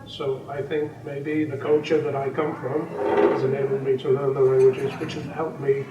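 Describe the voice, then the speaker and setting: A man's voice: medium pitch, little pitch movement, muffled recording. Elderly man, echoey distant recording. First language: Welsh. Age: 80s. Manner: unhurried, rambling with many ums and restarts. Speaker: snooker coach and referee. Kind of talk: interview, reminiscing. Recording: echoey room, distant mic, background noise.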